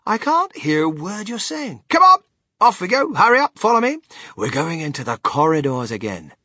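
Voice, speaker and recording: An eccentric, impatient storybook factory owner, high and wildly animated. He is brisk, dismissive and theatrical, with a bright, forceful edge that keeps every phrase exclamatory. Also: husky, no room sound, studio quality, heavily acted. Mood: brisk, exasperated. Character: excitable, eccentric male chocolate-factory owner, flamboyant and impatient.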